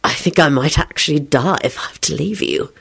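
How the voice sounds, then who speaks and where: Woman as an earnest, smitten young man, low voice. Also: fairly quick, vocal fry, slightly rough, exaggerated voice. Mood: earnest, tender. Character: earnest, wry, smitten young man, playfully self-pitying.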